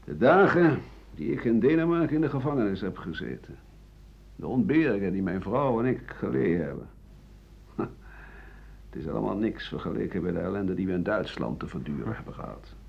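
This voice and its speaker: An aggrieved older doctor, bitter and defiant, in a radio play monologue. His husky voice swings widely between low and heated tones. The recording is a dull old radio broadcast. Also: animated, a little fast, heavily acted. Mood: weary, bitter. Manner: aggrieved, emphatic monologue with scornful chuckles. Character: embittered older French doctor-writer accused of treason, defiant.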